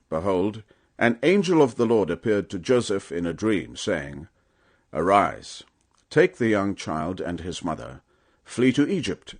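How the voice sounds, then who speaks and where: Low-voiced man reading scripture with sweeping intonation. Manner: measured, dramatic, deliberate phrasing. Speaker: audiobook narrator.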